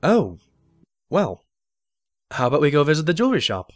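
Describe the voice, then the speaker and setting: A man's voice: on the high side, wildly swooping pitch, normal speed, no echo. A man playing a polite but skeptical pony doctor, a detective's sidekick, in an audio drama. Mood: reluctant, wry.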